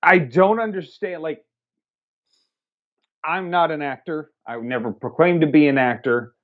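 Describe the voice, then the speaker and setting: A man's voice: fairly high pitch, normal speed. A man co-hosting a casual movie review podcast, riffing sarcastically and swearing freely. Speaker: podcast co-host. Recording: slightly dull lo-fi audio.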